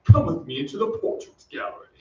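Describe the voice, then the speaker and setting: A man's voice: fast, warm tone, natural voice. A man playing a mournful old English ghost nobleman in a theatrical, archaic, old-time radio drama style. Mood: lofty, reluctant. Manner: theatrical, archaic and lofty speech. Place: theatre stage, heard from off. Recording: distant echoey stage, dull with noise.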